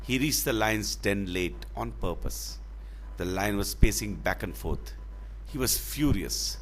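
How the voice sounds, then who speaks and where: A man telling a children's fable in a dramatic, expressive way, with deliberate phrasing. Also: on the low side, slight background noise. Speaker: storyteller.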